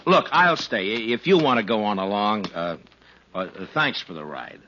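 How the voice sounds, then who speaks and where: A man's voice: fairly low pitch, big pitch swings, moderate pace, pushed and bright, a little hiss or hum. An actor playing a weary, kindly frontier lawman with an American accent, speaking plainly and reflectively in a 1950s radio western. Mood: easygoing, polite. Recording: muffled old 1950s radio recording, music behind.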